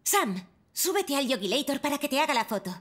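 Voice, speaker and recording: A woman's voice: sits high, very animated, brisk, a bit bright. An actress voicing a capable, kind young woman firefighter in a cartoon dub. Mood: brisk. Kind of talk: cartoon dubbing.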